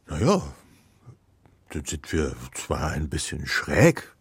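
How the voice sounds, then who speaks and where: A gentle old codger of a father in a children's radio play. His voice is very husky and breathy, slow and halting, and it swings widely in pitch, close and dry. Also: wildly swooping pitch, no room sound, exaggerated voice. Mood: wry, amused. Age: older. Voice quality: husky, often dropping to a whisper.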